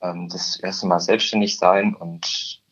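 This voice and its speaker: Male, 19, from Upper Bavaria, halting podcast guest, slightly lo-fi recording. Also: low voice, flat delivery, fairly bright tone, breathy edge. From Upper Bavaria, Germany. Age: teens. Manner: hesitant, many "ähm" fillers. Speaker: guest, insurance trainee. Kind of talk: podcast interview.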